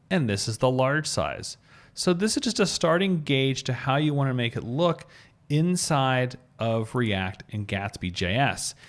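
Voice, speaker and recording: A man hosting a step-by-step coding tutorial, explaining as he goes and spelling things out in an instructional way. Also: mid-range voice. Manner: instructional, spells out code letter by letter. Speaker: web design tutorial host.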